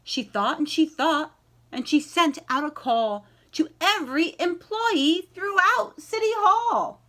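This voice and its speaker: A woman reading a children's storybook aloud and chatting to young listeners, expressive and encouraging, with stretched key words and dramatic pauses. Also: very high-pitched. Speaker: library read-aloud host.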